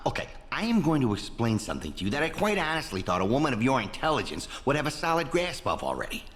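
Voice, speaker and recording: An actor playing a vain, foul-mouthed corrupt American politician in a video game, with a pompous, swaggering delivery. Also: male voice, medium pitch, a bit bright. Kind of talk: video game character acting. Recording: long reverb.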